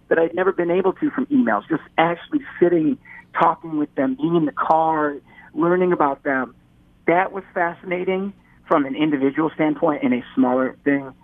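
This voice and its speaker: Male interview guest, slightly higher voice, rambling and self-correcting, recorded down a thin phone line. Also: vocal fry, dry recording. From Metro Detroit. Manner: rambling, many restarts and repeated words. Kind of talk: casual interview chat.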